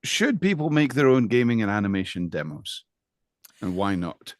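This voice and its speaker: A man's voice: lowish voice, very animated, normal speed, no echo. A man in a casual interview, speaking as an agreeable, affirming co-host.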